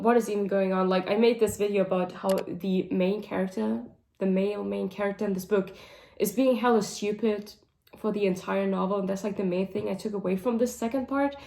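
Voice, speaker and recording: A woman with a hoarse, raspy voice talking casually through a book wrap-up, with lots of "like" and "um" fillers. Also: mid-range voice, darkish tone. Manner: rambling, full of "like" and "um" fillers. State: hoarse, voice sounding deep and raspy. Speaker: book vlogger. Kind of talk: casual monthly reading wrap-up chat. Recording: roomy echo.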